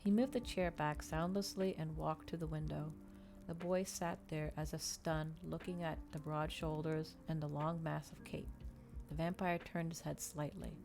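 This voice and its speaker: Soft-spoken woman reading, lower-pitched voice. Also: steady tone, normal speed, creaky, music in the background. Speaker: streamer reading a novel aloud. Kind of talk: ASMR audiobook reading.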